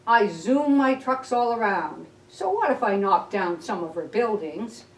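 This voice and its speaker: An aggrieved six-year-old little brother, read by a woman, complains defiantly from a picture book. The delivery is slow and whiny, set a bit back from the microphone in a roomy, echoing space. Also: medium pitch, expressive. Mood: indignant, defiant. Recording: roomy echo, distant mic.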